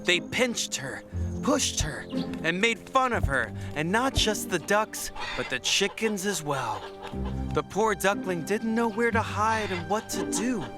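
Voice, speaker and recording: A man's voice: sits high, big pitch swings, measured pace. A man reading a scripted children's bedtime story as the narrator, with music behind him.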